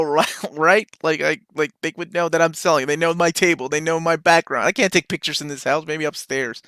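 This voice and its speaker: A man from Peru hosting a relaxed live Q&A about comics. He reads out viewer questions and answers them in an easygoing, enthusiastic way. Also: fairly high pitch, no room sound, clean studio sound. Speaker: comics channel host. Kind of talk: casual live Q&A chat. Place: home room.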